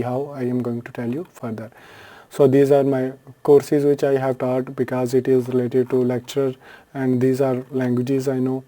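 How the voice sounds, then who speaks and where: A man's voice: medium pitch, dark tone. A man from Pakistan whose first language is Urdu, talking through a screen-share tutorial in a loose, unscripted way with frequent fillers and self-corrections. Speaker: tutorial host.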